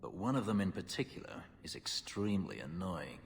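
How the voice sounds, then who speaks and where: Game companion: testy, protective, lowish lively voice, heard in a reverberant hall. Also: male voice, fairly quick, vocal fry, full character voice. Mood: irritated. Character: testy, protective male companion, disdainful of mages. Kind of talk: video game voice acting. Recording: long hall reverb, background noise.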